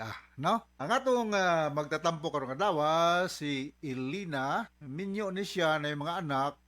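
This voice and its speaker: A man's voice: a little high, fairly bright tone. A man with a Cebuano accent hosting a radio advice programme as a doctor, conversational and emphatic. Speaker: radio host and advising doctor.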